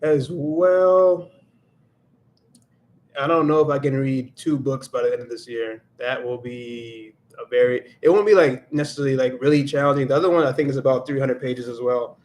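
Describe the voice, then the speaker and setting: A man's voice: medium pitch, normal speed, a little mellow. A man hosting a casual livestream, thinking aloud in a reflective way and slipping into Spanish now and then. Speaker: livestream host and Spanish learner.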